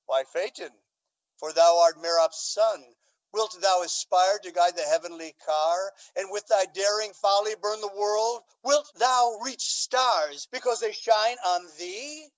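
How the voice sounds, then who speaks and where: Someone acting an angry scornful duke, medium pitch, grand shakespeare radio play delivery. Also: unhurried, close to an everyday voice, low-quality recording. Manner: declamatory, scornful, booming when angered. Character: wrathful, imperious duke and outraged father.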